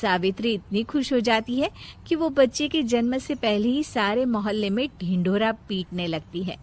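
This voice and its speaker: A woman's voice: fairly high pitch, normal speed. A woman storyteller reading scripted narration of a moral family story.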